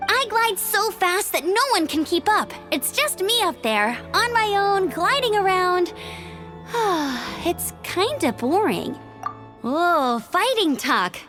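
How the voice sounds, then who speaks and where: A spirited young outrider in a fantasy game cutscene. The voice sits very high and bounces through a lively range. Music plays clearly beneath the dialogue. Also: female voice, slow pace, exaggerated voice. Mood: teasing. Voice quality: bright, upbeat and energetic. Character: young woman, cheerful, bold outrider. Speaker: game character.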